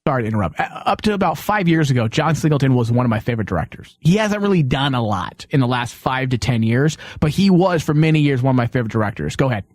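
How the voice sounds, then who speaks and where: A man's voice: mid-range voice, big pitch swings, fast, few pauses, dry recording, clean studio sound. A man hosting a casual panel talk show, blunt and opinionated, who swears and cuts in on others. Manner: blunt, profane, interrupting.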